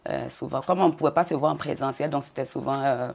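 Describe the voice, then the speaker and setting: An older woman from Côte d'Ivoire answering interview questions in a measured way, often repeating words as she goes. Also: a bass voice, creaky, a little mellow, breathy edge. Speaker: interview guest.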